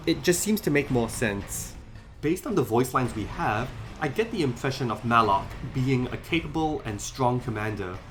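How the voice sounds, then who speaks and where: A man hosting a scripted video essay about game lore, explaining in a measured way. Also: medium pitch. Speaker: video host.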